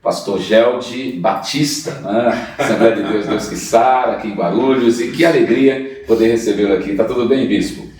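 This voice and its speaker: A man's voice: on the low side, moderate pace, hardly any pauses, a little mellow. Male church podcast host, chatty and affirming; distant mic, heavy room echo. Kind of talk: podcast interview.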